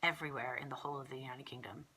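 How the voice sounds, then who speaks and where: A woman's voice: rumbling low, steady tone, racing, pushed and bright, breathy edge. A young woman from the south of England with a congested cold, chatting through a casual pregnancy vlog in an exasperated, rambling way, full of fillers. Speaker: vlogger.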